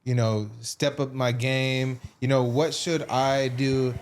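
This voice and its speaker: A young man hosting a podcast interview, relaxed and joking with slang. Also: mid-range voice, takes it slowly, a little hiss or hum. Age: young adult. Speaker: podcast host, young journalist.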